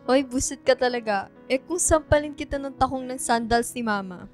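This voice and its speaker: A woman with a Filipino accent playing a tomboyish, teasing teenage daughter in a radio drama, with a clear voice. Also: sits high, moderate pace, close to an everyday voice. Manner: teasing, bickering. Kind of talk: radio drama acting, casual banter. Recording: music bed under the voice.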